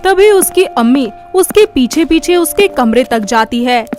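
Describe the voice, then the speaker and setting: A woman's voice: high-pitched, lively intonation, measured pace, darkish tone, a little hiss or hum. A woman narrator reading a scripted moral story.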